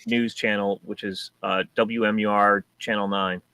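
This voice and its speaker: A man from New Hampshire co-hosting a casual car talk show, rambling and animated with many restarts. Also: fairly low pitch, a little slow, clean tone, no echo. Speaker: co-host. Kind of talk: casual chat.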